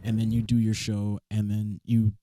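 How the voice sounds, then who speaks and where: A young man with a raspy voice, a musician chatting as a guest, often restarting his sentences. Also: on the low side, completely flat delivery, moderate pace, warm tone. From Southern California. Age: young adult. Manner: rambling, stammers on restarts. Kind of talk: casual interview chat.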